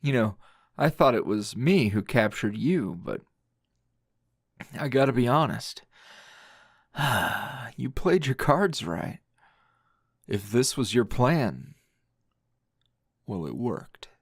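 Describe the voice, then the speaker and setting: A man playing a weary, teasing male teacher hero in an intimate ASMR roleplay, with a low gravelly voice and lingering pauses. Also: sing-song, no echo, clean studio sound, exaggerated voice. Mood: teasing, seductive. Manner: slow, breathy murmuring with sighs and long pauses.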